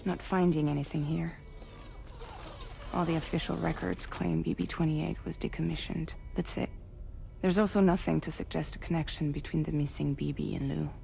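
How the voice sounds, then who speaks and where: A woman's voice: deep voice, steady tone, moderate pace, vocal fry, warm tone. A woman playing a matter-of-fact colleague reporting on records in a video game cutscene.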